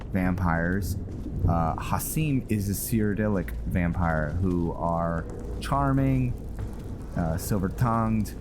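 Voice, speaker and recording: A man hosts a role-play gaming series in a deep voice at an unhurried pace. He muses casually to himself, with game music clearly behind him. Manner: laid-back, musing, talks to himself. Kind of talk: casual let's play commentary.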